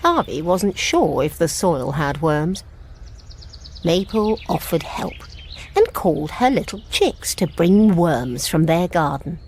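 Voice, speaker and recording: A woman narrating a scripted fairy tale for toddlers in an expressive, simple storybook manner. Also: fairly low pitch, slow pace, a little hiss or hum. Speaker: children's storyteller.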